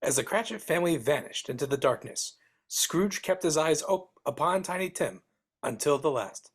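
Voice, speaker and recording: Casting: female narrator, low register, husky texture, for live scripted story reading. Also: animated, normal speed.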